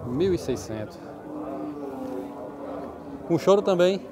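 A man vlogging his walk through an outdoor livestock market, greeting sellers in a brisk, friendly, chatty way. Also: high voice, expressive. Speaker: vlogger touring a cattle market. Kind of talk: casual on-the-spot vlog, asking sellers prices. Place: outdoor livestock fair pens. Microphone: handheld phone camera. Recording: outdoor market with loud crowd and cattle.

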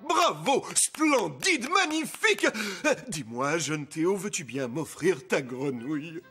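Doting old fairy-tale king for a cartoon, animated, expressive and a little bumbling. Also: a little high, slow pace, over music, full character voice. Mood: delighted. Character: doting, mournful old king, excitable father.

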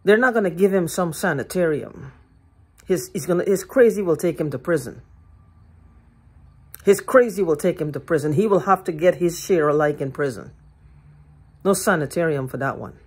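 An older woman ranting to her phone camera in a living room, heated, profane and repetitive, with a hoarse throat. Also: fairly low pitch. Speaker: vlogger. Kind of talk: agitated rambling monologue to camera. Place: living room at home, indoors. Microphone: phone held at arm's length.

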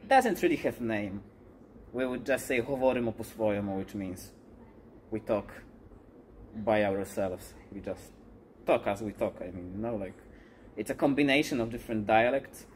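A man's voice: on the low side, moderate pace, slight background noise. A young man from Poland, a summer school participant, answering interview questions earnestly in a large open hall. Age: young adult.

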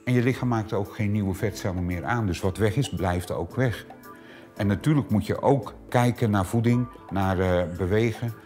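A man's voice: lowish voice, normal speed, a little mellow, faint music behind. A middle-aged man who owns a clinic, explaining treatments with many hesitant filler sounds. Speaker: clinic owner. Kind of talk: explanatory interview answers. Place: small treatment room indoors.

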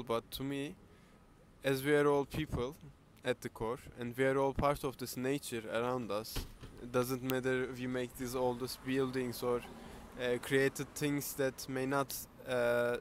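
A young man from Turkey speaking earnestly to camera on a city street, pausing often with fillers. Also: mid-range voice, little pitch movement, a little hiss or hum. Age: young adult. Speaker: interviewee. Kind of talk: casual speech to camera. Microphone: handheld camera, close.

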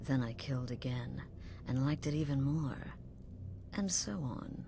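A woman voicing a middle-aged assassin leader in a video game, coolly sinister and sly, measured and knowing with dramatic pauses. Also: rumbling low, gravelly, noisy background, full character voice.

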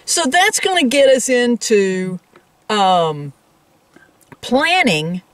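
A woman's voice: fairly high pitch, big pitch swings, very slow, dry recording, recorded from a distance. A woman co-hosting a travel vlog, explaining things in casual, conversational talk addressed straight to viewers. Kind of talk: casual explanatory chat to camera.

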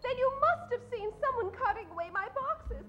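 Woman acting a shrill, melodramatic damsel in distress. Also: animated, fairly quick, pushed and bright, heavily acted. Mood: panicked. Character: panicked, beautiful female dress shop owner. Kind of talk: 1940s radio acting. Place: live stage before standing radio microphone. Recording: dull, lo-fi stage recording.

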